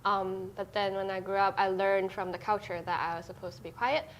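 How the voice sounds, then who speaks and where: A woman's voice: medium pitch, fairly even intonation, slight background noise. Young woman guest. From Hong Kong. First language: Cantonese. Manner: thoughtful, halting, many fillers. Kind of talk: casual podcast chat.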